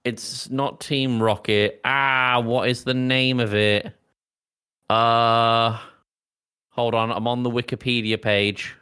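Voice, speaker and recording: A man's voice: mid-range voice, little pitch movement, slow pace, bright, forceful tone, smooth. A man co-hosting a podcast, recapping a film in a casual, rambling way that often interrupts itself. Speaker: podcast co-host.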